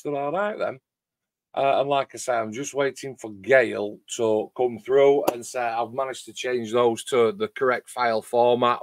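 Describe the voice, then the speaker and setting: A middle-aged man with a loud voice, chatting casually and in a rambling way as host of a hobby livestream. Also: mid-range voice, no echo. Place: home garage workshop shed.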